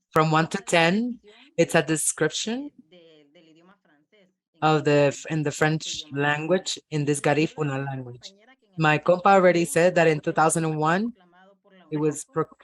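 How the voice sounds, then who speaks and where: Interpreter, mid pitch, halting delivery, frequent restarts. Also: low-quality recording. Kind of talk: live consecutive interpreting.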